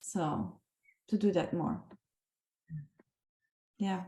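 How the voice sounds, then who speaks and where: An earnest woman shares her experience in a group conversation, often restarting her sentences. Her voice is mellow and warm, and it rings with the echo of a bare room. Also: on the low side, sing-song, vocal fry. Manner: earnest, halting, with frequent restarts. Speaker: participant in a group discussion. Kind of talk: reflective group discussion. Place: video call. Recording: echoey room.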